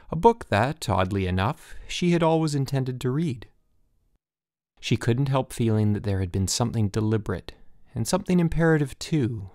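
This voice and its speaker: A man narrating a literary short story as an audiobook, with measured, deliberate phrasing and careful pauses. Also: on the low side, vocal fry, darkish tone, no room sound, studio quality.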